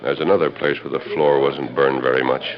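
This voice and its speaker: An actor playing a calm, earnest, commanding male western hero in a 1940s radio drama, speaking in a measured, well-spoken way. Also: extremely low pitch, crowd noise. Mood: pondering, serious. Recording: muffled vintage 1940s radio recording.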